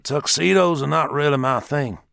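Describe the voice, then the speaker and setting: A man's voice: on the high side, moderate pace, vocal fry, a bit bright, no room sound, studio quality, voice pushed up. A man voicing an earnest, awkward thirty-year-old male police officer as audiobook dialogue.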